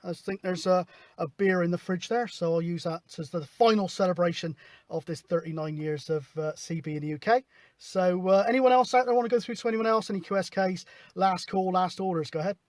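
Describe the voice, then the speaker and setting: High-pitched man on CB radio. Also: fairly quick, dry recording. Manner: informal, matey. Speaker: CB radio operator, host. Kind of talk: casual radio chat. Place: outdoors at a hilltop spot, mobile radio setup.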